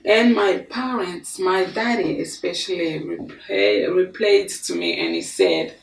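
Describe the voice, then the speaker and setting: Woman from Congo, slow deliberate storyteller, gravelly edge, echoey studio picked up from a distance. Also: fairly low pitch. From Congo DRC. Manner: slow, deliberate, expressive with hand gestures. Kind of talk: personal life story to camera. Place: studio before a green screen. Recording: echoey room, mic at a distance.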